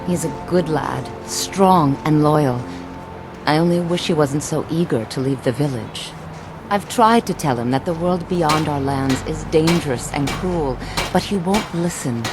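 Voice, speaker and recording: A worried village mother from a fantasy game, earnest and protective. Her voice is low and measured, and music plays clearly behind it. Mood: earnest pleading. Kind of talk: video game dialogue. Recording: music over game audio.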